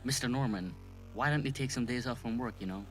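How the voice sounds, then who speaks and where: A man acting an earnest autopsy doctor in an amateur audio drama, talking in a deliberate, conversational way. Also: mid-range voice, a bit bright, soft background music, natural voice. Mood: matter-of-fact, sympathetic. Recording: background noise.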